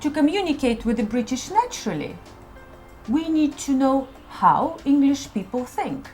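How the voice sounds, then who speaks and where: A woman's voice: sits high, sing-song, normal speed, a little mellow, faint music behind. A woman with a Russian accent, narrating a scripted explainer about English customs in a friendly, explanatory way. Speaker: co-host teaching about English culture.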